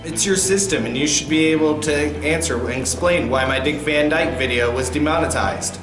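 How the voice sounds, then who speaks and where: Casting: man as angry small creator in sketch comedy, slightly high voice, complaining, roomy with music. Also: fairly quick, close to an everyday voice. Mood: aggrieved. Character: aggrieved angry male small video creator protesting. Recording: echoey, distant, clear music behind.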